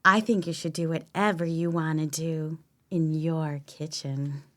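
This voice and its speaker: Woman acting giggly, tipsy, slow-talking flirt. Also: sits low, steady tone, a bit bright. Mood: flirtatious, coaxing. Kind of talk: stage readers theater audio play.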